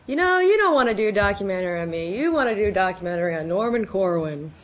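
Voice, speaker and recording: A woman's voice: mid-range voice, expressive, fast. A woman from Chicago giving informal, anecdote-filled answers at a panel discussion. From Chicago, United States. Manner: conversational, quotes people in anecdotes. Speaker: documentary producer. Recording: live event with faint noise.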